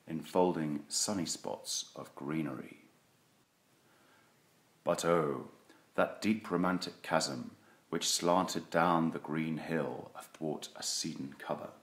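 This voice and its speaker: A man giving a solemn, theatrical recitation of classic poetry, with deliberate phrasing. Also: a bass voice. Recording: roomy, echoing sound.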